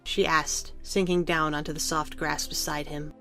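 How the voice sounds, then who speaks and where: Low-voiced woman narrating a story over music. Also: level tone, normal speed, a bit bright.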